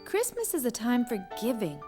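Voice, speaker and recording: A woman playing a gentle, encouraging young mother who patiently explains things to small children in a kids' cartoon. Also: on the high side, wildly swooping pitch, moderate pace, music in the background. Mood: gentle explaining.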